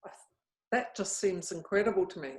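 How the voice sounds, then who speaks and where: A woman with a mid-range voice interviews an author in a relaxed conversation. She asks her questions with open admiration and curiosity. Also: medium pitch, brisk.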